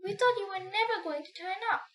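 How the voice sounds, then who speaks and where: Woman reading as an old giant grasshopper, high-voiced. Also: a little fast, a bit bright, slight background noise. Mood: welcoming. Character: old green male grasshopper, huge, avuncular.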